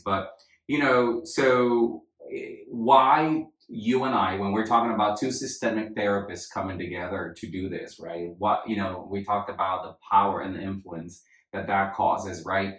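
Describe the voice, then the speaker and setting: A man's voice: lowish voice, steady tone, normal speed, fairly bright tone. A man from Puerto Rico, raised in Florida, co-hosting a podcast as a family therapist and explaining ideas with frequent "right?" checks. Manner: explanatory, many "right?" tags. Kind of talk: casual podcast chat. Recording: echoey room.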